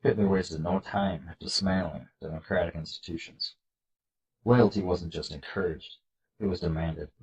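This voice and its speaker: Low voiced guy w a stuffy nose from a cold, earnest, kinda hesitant, reading slides for his channel. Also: fairly even intonation, normal speed, darkish tone, dull audio. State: congested, nasal from a cold. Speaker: political video host. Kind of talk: casual commentary reading slides aloud.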